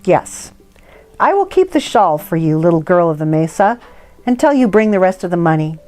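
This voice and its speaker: A kindly storybook shopkeeper, a tender fatherly man voiced by a woman reader. He speaks softheartedly, with faint music underneath. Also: on the low side, very animated, moderate pace. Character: kindly, tender male trading-post keeper, a bereaved father. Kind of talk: storybook read-aloud.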